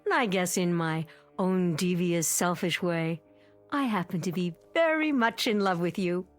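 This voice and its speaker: A proud, middle-aged screen diva, theatrical and haughty with a wistful streak. It is vintage television drama, with music faintly behind the voice. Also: female voice, on the low side, animated, measured pace. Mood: wistful, sardonic. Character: proud, wistful, temperamental aging female film star. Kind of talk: mid-century television drama acting.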